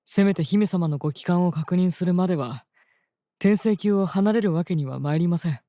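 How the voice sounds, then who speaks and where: An actor as a bright-less, composed, strict star-reader. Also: mid-range voice, moderate pace, no room sound, studio quality, close to an everyday voice. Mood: firm, dutiful. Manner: polite, formal, firm. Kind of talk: Japanese voice drama acting.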